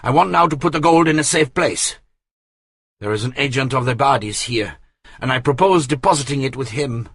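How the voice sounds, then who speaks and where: A confident young Italian man voiced by a man with an Italian accent, speaking in a lofty, authoritative tone. His voice is raised higher than the reader's own and sounds husky. The recording is dry and close. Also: animated, normal speed, fairly bright tone, no echo. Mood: firm. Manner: authoritative, high-toned.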